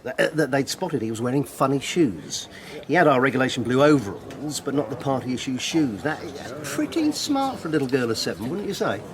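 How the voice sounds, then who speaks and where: A man's voice: medium pitch, sing-song, brisk, lightly acted. An actor playing a chummy, boastful, zealous party-loyal English father in a radio drama, with an eager, bluff, stammering delivery. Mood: proud, gleeful. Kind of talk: naturalistic radio drama acting. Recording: crowded, busy background chatter.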